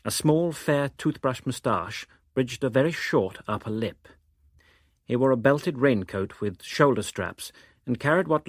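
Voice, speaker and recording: A man's voice: medium pitch, no echo, studio quality. A man reading literary prose as a scripted narration. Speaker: reader of novel extracts.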